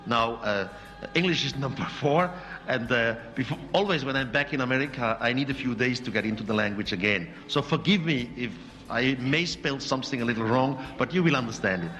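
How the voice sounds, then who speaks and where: A man's voice: mid-range voice, a little fast, fairly bright tone. A man whose first language is Swiss German, giving a lecture in accented, searching English with occasional slips. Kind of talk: public lecture. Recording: hall recording with faint music.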